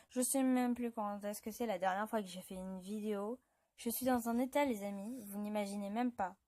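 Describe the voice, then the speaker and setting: A woman's voice: mid-range voice, a little mellow. A woman with a cold and a dry throat, rambling and apologizing as she comments on her mobile game. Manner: chatty and rambling, often apologizing. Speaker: gaming channel host. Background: game music.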